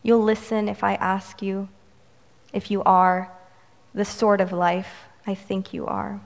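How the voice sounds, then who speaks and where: A Romanian-American woman whose first language is Romanian, reading her poems slowly and measuredly and adding brisk, wry remarks between them. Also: medium pitch, little pitch movement. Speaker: poet. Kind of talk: poetry reading with commentary. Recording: reverberant hall.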